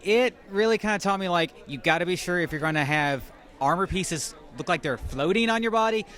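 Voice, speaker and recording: Chill woman cosplayer chatting at a con, lowish voice, jokes about herself. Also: animated, fairly quick, a bit bright, some chatter behind. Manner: laid-back, laughs at herself. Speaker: cosplayer guest. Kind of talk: casual interview answers. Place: at a fan convention.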